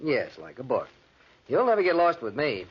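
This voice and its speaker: A man's voice: medium pitch, wildly swooping pitch, normal speed, breathy edge. A man playing a self-assured, teasing bachelor writer in a 1940s radio drama, with a breezy, bantering manner. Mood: breezy, confident. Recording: dull lo-fi 1940s radio recording.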